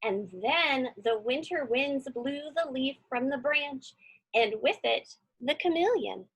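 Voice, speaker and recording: A woman's voice: sits high, animated, slow pace, a bit bright, slightly rough, low-quality recording. A woman reading a storybook aloud and chatting informally to children as their teacher, encouraging and often putting questions to her listeners. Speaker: teacher hosting a children's read-along.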